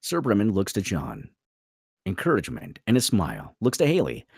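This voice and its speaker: A man with a lowish, rough-edged voice narrates a script. His delivery swings widely in pitch, dramatic and vivid, recorded close and dry. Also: wildly swooping pitch, moderate pace, no echo. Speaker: narrator reading stage directions.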